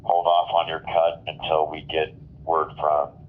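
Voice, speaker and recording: A man's voice: very deep voice, completely flat delivery, measured pace. An American man as fire incident commander on a two-way radio, speaking in clipped procedural phrases. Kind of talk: fire radio dispatch traffic. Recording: narrow tinny fireground radio transmission.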